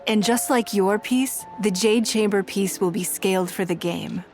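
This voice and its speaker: A woman playing a composed, shrewd and wealthy businesswoman in a video game, speaking in a measured, formal way. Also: mid-range voice, a little mellow, close to an everyday voice. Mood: calm, assured. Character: poised, shrewd, wealthy businesswoman of high standing. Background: background music.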